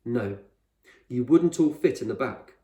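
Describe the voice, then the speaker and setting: A man voicing an eccentric, proud male explorer in a storybook, speaking with measured authority and reassurance. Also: mid-range voice, expressive, dark tone, natural voice. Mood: firm, earnest. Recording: roomy echo, distant microphone.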